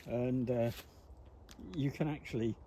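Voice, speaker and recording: A man's voice: medium pitch, fairly even intonation, measured pace, mellow, a little hiss or hum. A man chatting with a stranger outdoors, hesitant and polite, with frequent restarts. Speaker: passer-by. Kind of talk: casual chat.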